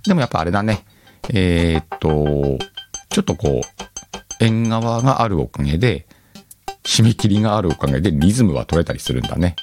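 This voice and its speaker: A man's voice: low-pitched, animated, a little fast, darkish tone. A man hosting a casual solo chat show, rambling and self-deprecating, often laughing at himself, with music behind him. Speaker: host of an audio show. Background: background music.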